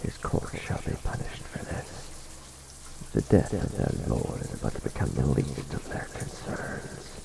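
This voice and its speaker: Casting: male actor as brooding, protective vampire lord for ASMR roleplay; low creaky voice, hushed formal delivery with sweeping intonation. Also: very animated, background noise, heavily acted. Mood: grim, vengeful. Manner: formal, archaic wording, slow and hushed. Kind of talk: ASMR romantic roleplay, soft close speaking.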